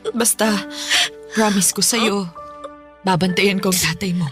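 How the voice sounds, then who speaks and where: A woman's voice: medium pitch, steady tone, a little slow, soft background music, close to an everyday voice. A woman playing a long-suffering, protective mother in a Filipino radio melodrama, emotional and determined. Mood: wounded, resolute.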